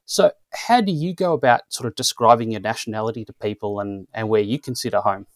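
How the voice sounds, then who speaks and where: A man hosting a podcast, introducing a guest in a relaxed way with many pauses and restarts. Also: lowish voice, no echo. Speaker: podcast host. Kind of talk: casual interview chat.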